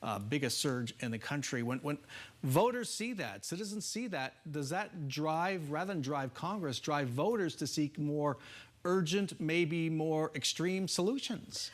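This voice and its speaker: A man's voice: on the high side, animated, unhurried. An American man hosting a broadcast political panel, putting pointed, probing questions to guests. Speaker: TV political show host.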